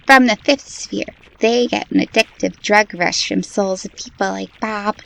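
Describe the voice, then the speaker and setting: A woman's voice: mid-range voice, measured pace, gravelly, a bit bright. A woman voicing an eerie, knowing child in a comic dub, explaining things in a detached, matter-of-fact way. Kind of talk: comic dub character acting.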